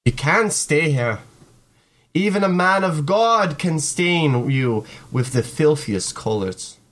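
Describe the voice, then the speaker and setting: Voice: mid-range voice, expressive, takes it slowly, a bit bright, voice pushed down. A performer voicing a domineering, possessive mother who belittles her child in a visual novel. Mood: stern, warning.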